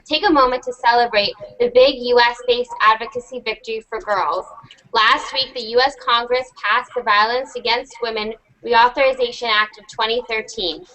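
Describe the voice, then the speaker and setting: A woman's voice: fairly high pitch, pushed and bright, poor audio. A young American woman in her teens, enthusiastically hosting an online panel with a prepared introduction. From United States. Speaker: host.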